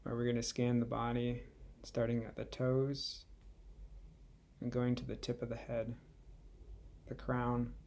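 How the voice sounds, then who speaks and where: Gravelly, mellow male meditation guide. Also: mid-range voice, completely flat delivery, a little hiss or hum. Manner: gentle, unhurried, with long pauses between phrases. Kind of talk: guided body scan meditation.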